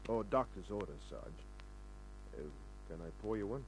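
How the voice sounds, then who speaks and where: A man's voice: lowish voice, expressive, moderate pace. An Australian man acting a wry, tough, flirtatious private investigator in a laconic 1950s radio crime drama style. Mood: wry, casual. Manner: laconic, wisecracking. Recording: noisy old radio recording with hum.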